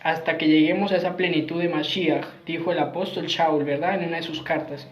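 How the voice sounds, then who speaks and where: A man's voice: a little high, fairly even intonation, fairly quick. A man giving an earnest Bible teaching sermon, repeating his phrases and often ending with tag questions. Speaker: Messianic preacher. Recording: roomy, dull lo-fi sound.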